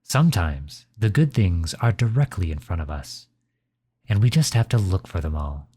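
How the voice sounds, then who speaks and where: A man playing a gentle, reassuring father comforting his child in a soft, soothing ASMR roleplay. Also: deep voice, expressive, breathy edge. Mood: warm, reminiscing. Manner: soft, soothing, unhurried encouragement.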